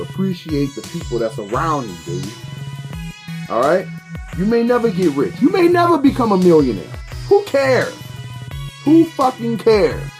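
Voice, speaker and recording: Rambling man in his thirties, music behind. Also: a little high. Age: 30s. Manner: loose, repetitive, swearing, preachy asides. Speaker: vlogger reviewing beer and cigars. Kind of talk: casual rambling monologue.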